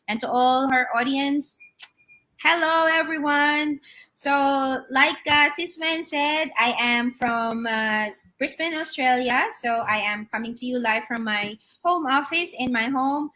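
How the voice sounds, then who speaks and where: A woman's voice: sits high, takes it slowly, pushed and bright. A middle-aged woman from the Philippines, a guest on a live video-call interview from her home office, telling her story with many fillers and restarts.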